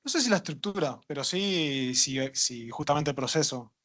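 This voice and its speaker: A man answering questions at a workshop, relaxed and thinking aloud with small jokes. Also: on the high side, moderate pace. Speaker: workshop instructor. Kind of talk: casual lecture Q&A.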